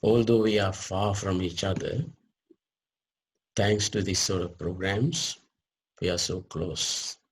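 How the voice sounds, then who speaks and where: A man leading a guided meditation as a teacher, speaking deliberately in short phrases with pauses between them. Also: sits low, steady tone, breathy edge, low-quality recording.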